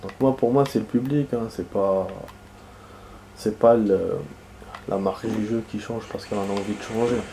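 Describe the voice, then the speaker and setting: A man's voice: on the low side, fairly even intonation, moderate pace, mellow, a little hiss or hum. A man with a cold and a nasal voice, giving casual commentary while playing a video game, rambling with many fillers and restarts. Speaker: gaming video host.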